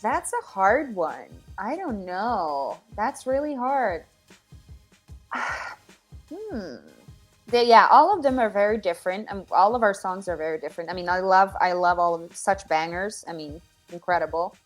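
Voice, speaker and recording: A Finnish-accented woman singer-songwriter answering interview questions from home, often pausing and restarting as she searches for words. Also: medium pitch, expressive, soft background music. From Finland. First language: Finnish or Swedish. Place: home with her dogs.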